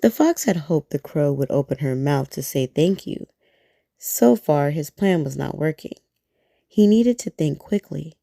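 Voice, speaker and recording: A woman reading a bedtime story aloud in a soft, gentle, soothing way, close to the mic. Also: sits low, expressive, a little slow, creaky, no echo.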